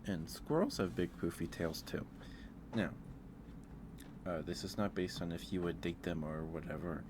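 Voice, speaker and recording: A soft-spoken man hosting a casual ASMR livestream, rambling and thinking out loud in a hesitant way. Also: low voice, moderate pace, noisy background. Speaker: livestream host. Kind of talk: casual ASMR livestream chat, ranking aloud.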